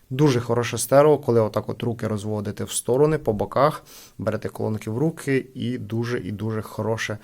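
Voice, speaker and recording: A man's voice: mid-range voice, a little mellow. A man hosting a casual product review, talking informally to his viewers as friends. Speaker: gadget unboxing channel host.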